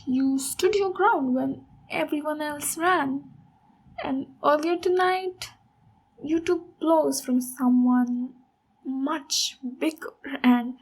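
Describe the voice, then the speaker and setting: A high, unhurried voice for a big ogre warrior woman, acted out by a woman. She is a gentle, encouraging mentor in an intimate ASMR fantasy roleplay, taking her time with each phrase. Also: low-quality recording. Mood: earnest, encouraging. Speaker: ASMR voice actor.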